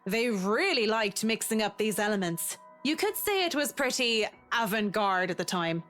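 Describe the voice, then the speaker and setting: Matter-of-fact architect, medium pitch. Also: female voice, sing-song, moderate pace, fairly bright tone, faint music behind. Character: knowledgeable female architect, measured and matter-of-fact. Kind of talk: video game voice acting.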